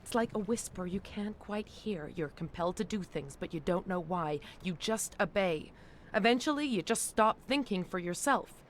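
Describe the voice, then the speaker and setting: An actress playing a frightened alien scientist in a video game, nervous and eager to explain herself. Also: female voice, medium pitch, lively intonation, normal speed, slight background noise, natural voice. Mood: uneasy, explaining. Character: frightened alien woman scientist, anxious to escape.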